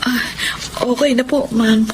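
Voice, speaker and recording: High-voiced humble young housemaid woman acting. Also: steady tone, a little slow, noisy background, lightly acted. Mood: meek, sincere. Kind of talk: Filipino radio drama acting.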